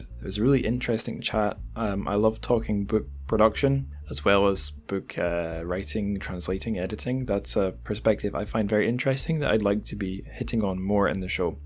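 A man hosting a podcast, talking casually in a conversational, self-deprecating way. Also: on the low side. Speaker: podcast host.

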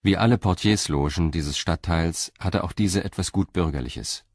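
A man's voice: low voice, normal speed, slightly rough, dry recording. A man reading scripted narration for a crime radio play.

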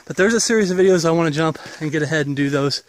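A man in his forties with a slightly high voice talks into a handheld camera held at arm's length as he walks an outdoor trail. He gives a loose, rambling update in an earnest preaching tone while getting over an illness. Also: racing, no echo. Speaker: ministry leader. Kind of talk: walk-and-talk video update. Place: outdoors on a wooded path.

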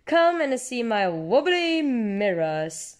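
A cheerful lady rabbit fairground barker from a picture book, acted by a woman reading aloud. She calls out high and sing-song, stretching her words. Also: very animated, slow pace. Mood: inviting.

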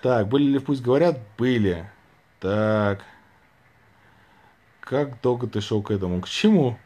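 A man's voice: medium pitch, unhurried, a little mellow. A man in his thirties hosting a casual livestream, reading out viewers' questions and answering them into a phone held at arm's length. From Saint Petersburg, Russia. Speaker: livestream host. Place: small room indoors, lying back on pillows.

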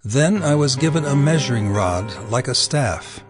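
A man giving solemn, deliberate dramatic narration of scripture. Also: mid-range voice, expressive. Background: loud music.

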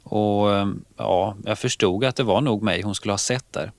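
A man's voice: on the low side, creaky, no room sound. A man reading out a police officer's first-person account in a conversational way for a radio programme. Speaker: reader of a police officer's testimony. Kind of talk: read-out first-person testimony in conversational manner.

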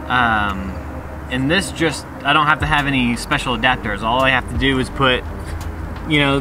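A young man talking casually and a bit haltingly to camera, explaining a DIY job as a vlogger. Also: mid-range voice, background noise. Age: young adult. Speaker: DIY bus conversion vlogger.

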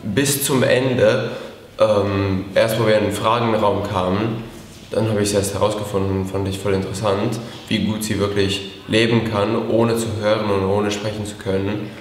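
A man's voice: on the low side, flat delivery, moderate pace, slight background noise. An eighteen-year-old man from Latvia answering interview questions casually, hesitant, with frequent fillers. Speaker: camp participant. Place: indoor room against a bare wall. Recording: echoey room with long reverb.

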